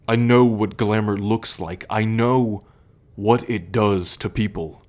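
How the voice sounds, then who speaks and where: A young man playing a troubled, protective male werewolf in an ASMR roleplay, speaking in a measured, halting, confiding way. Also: lowish voice, steady tone, a little mellow. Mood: earnest.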